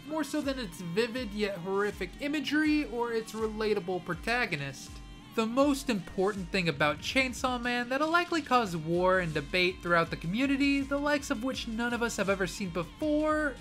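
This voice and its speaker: Male anime YouTuber, bright tone, playful fake-dramatic delivery, close mic. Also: high-pitched. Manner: mock-serious, punning, playfully dramatic. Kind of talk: scripted video commentary.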